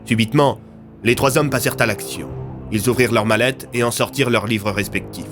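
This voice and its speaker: A man's voice: medium pitch, fairly quick, music in the background. A man narrating a scripted audio story in a dramatic style.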